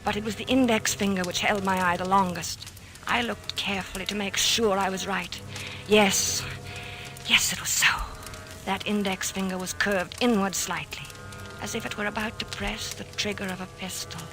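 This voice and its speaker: An actress playing a worried, pleading wife in an old-time radio mystery, with dramatic, earnest delivery. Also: female voice, medium pitch, steady tone, moderate pace, music in the background, close to an everyday voice. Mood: haunted narration. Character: worried, pleading wife recalling her husband's strange death.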